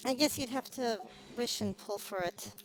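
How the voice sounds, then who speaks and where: A woman's voice: a little high, normal speed, a little hiss or hum, low-quality recording. A woman streaming a game with casual commentary, thinking aloud in a rambling, hesitant way. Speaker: streamer, vtuber host. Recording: game music playing behind the voice. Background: video game music.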